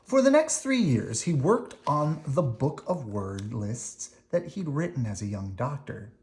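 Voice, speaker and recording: A person reading a children's picture book aloud, expressively and deliberately, with dramatic emphasis and short explanations for young listeners. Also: medium pitch, very animated, darkish tone. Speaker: storybook reader explaining words to children.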